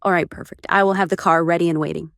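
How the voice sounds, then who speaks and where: An actor playing a brisk, dutiful director's assistant in a radio drama, crisp and deferential. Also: medium pitch, fairly even intonation, a bit bright, no echo, clean studio sound. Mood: brisk, polite.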